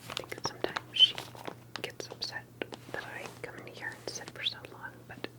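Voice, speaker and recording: Softly whispering woman in ASMR roleplay. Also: moderate pace. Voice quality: breathy, unvoiced whisper.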